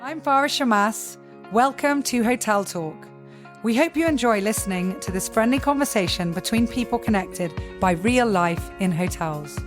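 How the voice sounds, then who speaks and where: A woman's voice: fairly high pitch, animated, moderate pace, over music. A woman hosting a podcast, eager and enthusiastic as she welcomes and interviews a guest. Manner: warm, enthusiastic, eager to praise. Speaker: podcast host. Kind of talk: casual interview.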